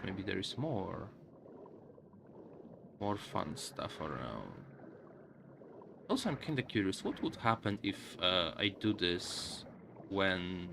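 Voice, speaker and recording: A man doing casual live game commentary as a streamer, musing aloud to viewers in a halting way, with game music behind him. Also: deep voice, vocal fry, noisy background.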